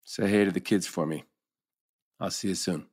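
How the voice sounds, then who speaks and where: A man playing an easygoing, loyal, gently wry middle-aged husband at a TV drama table read. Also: sits low, normal speed. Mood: earnest, reassuring.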